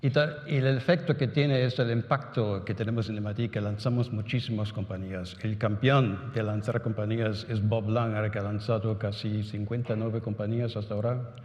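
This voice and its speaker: A low-voiced man gives a symposium talk in a language he learned later, with German and Italian as his first tongues. He stumbles, restarts and keeps adding little tag questions. The sound is roomy, with a long hall reverb. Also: fairly even intonation, darkish tone, highs cut off. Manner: halting, many restarts and "¿no?" tags. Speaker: conference speaker. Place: conference hall.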